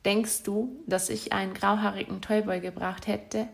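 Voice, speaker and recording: Gravelly woman reading a bitter letter. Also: mid-range voice, steady tone, moderate pace. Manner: bitter and accusatory, insulting. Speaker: spurned ex-partner. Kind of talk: reading out a self-written letter to an ex-partner.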